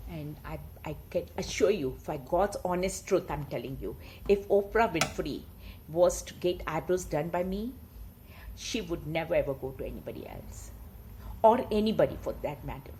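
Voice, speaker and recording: Animated woman chatting with emphatic repetition. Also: deep voice, moderate pace, a little hiss or hum, low-quality recording. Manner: emphatic, repeats words for stress. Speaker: online storyteller and talker. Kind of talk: rambling casual chat and reading stories aloud.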